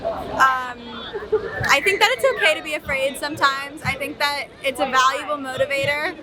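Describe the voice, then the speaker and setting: Female passerby, reflective, outdoors in a loud crowd. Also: high voice, steady tone, normal speed. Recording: loud street crowd noise.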